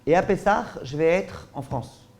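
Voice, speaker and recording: A man's voice: a little high, animated, moderate pace, vocal fry, darkish tone. Man explaining with rhetorical questions. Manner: frequent checks with listeners ("d'accord?"). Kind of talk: spoken Torah lesson.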